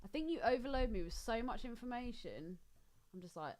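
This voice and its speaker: A woman's voice: a little high, animated, a little fast, darkish tone. A woman bantering casually as a podcast co-host.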